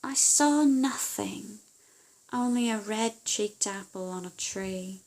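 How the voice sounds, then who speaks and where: A woman voicing a witch's dim, dutiful daughter in a storybook, with a drawn-out, plaintive manner. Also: medium pitch, a little mellow, low-quality recording. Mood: sheepish.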